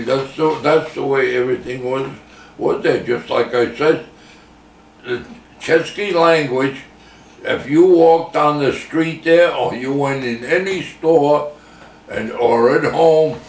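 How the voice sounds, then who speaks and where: An older man reminiscing in a casual oral history interview, talking in halting, rambling phrases and repeating himself. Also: mid-range voice. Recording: echoey room, distant mic, faint noise.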